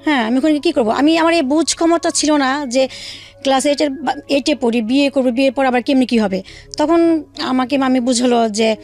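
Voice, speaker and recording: A woman with a Bangladeshi accent telling her own life story in an interview, haltingly, with pauses and repeated phrases. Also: high-pitched. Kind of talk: personal storytelling in a radio interview. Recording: faint music bed under the voice. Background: soft background music.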